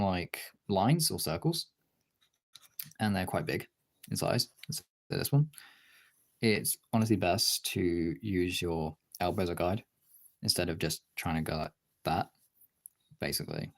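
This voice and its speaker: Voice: low-pitched, normal speed, pauses often. A streamer chatting casually while demonstrating drawing, talking in an informal, sweary way. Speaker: art streamer.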